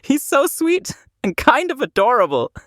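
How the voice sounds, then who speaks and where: An excitable female puppet character, voiced by a woman, high and wildly animated in pitch. She pleads in a fond, exasperated way. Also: moderate pace, heavy background noise. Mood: playful. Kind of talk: puppet comedy dialogue.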